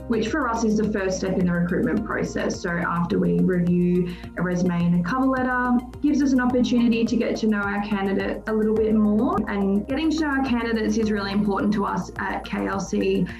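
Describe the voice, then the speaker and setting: Roomy lo-fi sound, woman, mid-pitched voice, recruiter, explanatory podcast talk, music behind. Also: darkish tone. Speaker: recruiter giving advice on a podcast.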